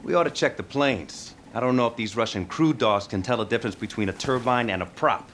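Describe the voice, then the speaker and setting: A man's voice: medium pitch, fairly quick, a bit bright, close to an everyday voice. An actor playing a cocky, joking American fighter crewman with an American accent and a teasing, casual manner. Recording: clear background vehicle noise.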